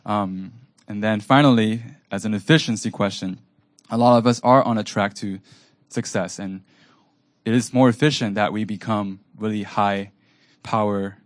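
A man whose first language is Cantonese, moderating a panel and asking a long, searching question with many pauses and ums. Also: lowish voice, steady tone, no echo. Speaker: event moderator.

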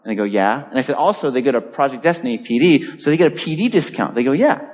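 A man's voice: mid-range voice, expressive, fast talker, in a large hall. A man pastor preaching a sermon, driving points home with emphatic repetition and telling a personal story. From Boston area, US. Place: church.

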